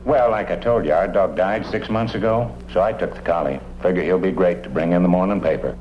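An actor playing an easygoing police detective partner with an American accent, chatting in a folksy, conversational way. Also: male voice, low-pitched, fairly quick, slight background noise, natural voice. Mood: chatty. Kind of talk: police drama dialogue. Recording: dull old broadcast, faint music.

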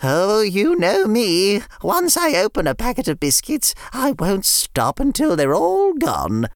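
A woman's voice: mid-range voice, wildly swooping pitch, measured pace, no echo, clean studio sound, pitched above the performer's own voice. A woman voicing a kindly older grandmother who loves biscuits, in a fussy, chatty way. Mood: fond.

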